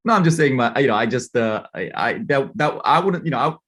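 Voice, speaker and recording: A man's voice: medium pitch, lively intonation, fairly quick, a bit bright, slightly rough. A man from Korea, a guest on an interview, talking thoughtfully and at length into an earbud mic. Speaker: guest, watch collector. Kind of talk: casual interview chat.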